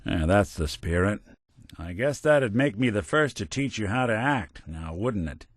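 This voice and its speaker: An actor playing a world-weary, wry but kindhearted android detective in a video game, with hardboiled old-fashioned slang. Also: male voice, fairly low pitch, lively intonation, a little slow, dry recording, clean studio sound. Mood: measured.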